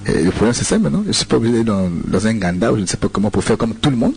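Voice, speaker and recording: A man's voice: on the low side, sing-song, rapid-fire, creaky, darkish tone, slight background noise. A man from Congo with a Congolese French accent, a radio preacher answering listener questions, hesitant, with many repeated words and fillers. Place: radio studio.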